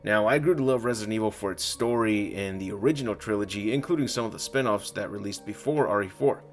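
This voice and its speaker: A man with a low voice narrates as the creator of his own comic video. His delivery is that of scripted storytelling and channel talk. Music plays clearly behind his words. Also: fast talker.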